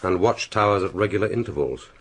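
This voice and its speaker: An actor playing a cool, dry-witted British secret agent in a radio drama, speaking in a clipped, understated, wry way. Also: male voice, sits low, expressive, quick pace, a bit bright. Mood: inquisitive.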